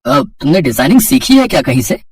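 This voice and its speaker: An actor playing a kindly, appreciative man in a cartoon story, earnest and encouraging, with a slightly husky voice. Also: a little high, very animated, a little fast, far from the mic, slight background noise. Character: kindly, appreciative adult man, boss and husband in cartoon tale.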